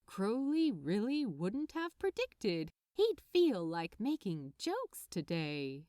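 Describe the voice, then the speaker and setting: A woman reads fiction aloud with a highly animated, varied melody. She takes her time over the phrases, in a close, dry recording with little room sound. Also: takes it slowly, no echo. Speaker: audiobook narrator. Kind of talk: expressive scripted fiction narration.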